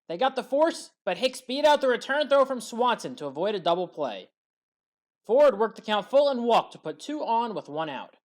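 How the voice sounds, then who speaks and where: Sports recap narrator: woman, higher register, strongly varied intonation, measured scripted play-by-play. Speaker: sports highlights commentator.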